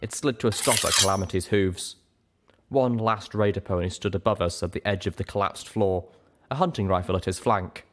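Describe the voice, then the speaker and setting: A man's voice: deep voice, brisk, slight background noise. A man reading a first-person adventure story aloud as dramatic narration. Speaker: audiobook reader.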